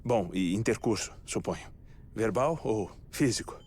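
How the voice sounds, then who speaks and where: A man's voice: fairly low pitch, expressive, a little mellow, husky, heavily acted. An actor with a Brazilian accent playing an eccentric, melancholic, talkative man in a dubbed video game cutscene. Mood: eager, rambling. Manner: talkative, halting, musing asides. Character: eccentric, melancholic man living in twenty-one-minute death cycles, chatty. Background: music.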